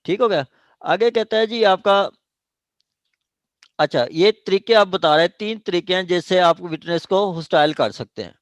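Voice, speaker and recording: A man with a Pakistani accent giving an online law lecture, explaining haltingly with repetition and switching into English legal terms. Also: sits high, no room sound. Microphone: online class call.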